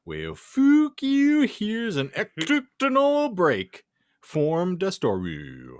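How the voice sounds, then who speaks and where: A man's voice: sits high, huge pitch swings, takes it slowly, pitched above the performer's own voice, heavily acted. Man doing a melodramatic bratty teenage goth girl. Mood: gleeful taunting. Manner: slangy, indignant, melodramatic. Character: bratty, foul-mouthed teenage goth girl essay writer. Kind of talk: comedic dramatic reading of fan fiction.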